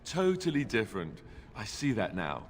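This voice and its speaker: A man's voice: lowish voice, wildly swooping pitch, moderate pace. A man playing a wry, teasing warrior companion in a fantasy video game, with a dry, sarcastic delivery. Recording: reverberant hall, game music underneath.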